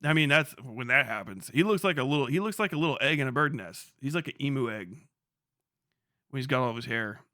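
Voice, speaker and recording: A man's voice: mid-range voice, lively intonation, fairly quick, sharp tone, dry recording, clean studio sound. A young man streaming live, commenting casually and laughing a lot while he mocks an online figure with plenty of swearing. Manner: profane, laughing often, mocking.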